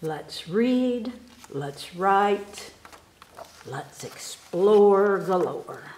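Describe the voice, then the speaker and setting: A woman reading children's poems aloud at storytime, expressively and with careful, animated delivery for young listeners. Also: mid-range voice, reverberant. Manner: animated, unhurried, enunciating for children. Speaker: library storytime reader. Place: indoors in a room, book filmed on table.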